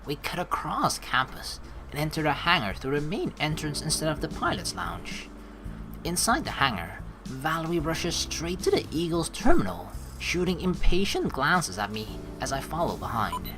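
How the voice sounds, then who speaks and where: A woman's voice: low-pitched, very animated, normal speed. A woman hosting a let's play, switching between reading game text aloud and casual, playful joking commentary. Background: game music.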